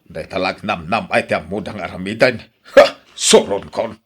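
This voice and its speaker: A man's voice: deep voice, moderate pace, breathy edge. A man with an Ilocano accent playing a stingy, spiteful fruit-tree owner in a radio drama. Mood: spiteful anger.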